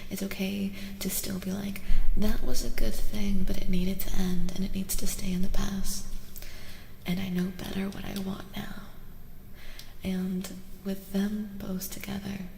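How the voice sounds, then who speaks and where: A woman speaks softly and slowly, often dropping into a breathy whisper, with a creaky edge to her voice. She is gentle and reassuring, like an ASMR streamer doing readings for her chat. The sound is roomy and reverberant. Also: medium pitch, a little hiss or hum. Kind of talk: soft-spoken ASMR, chatting with live viewers.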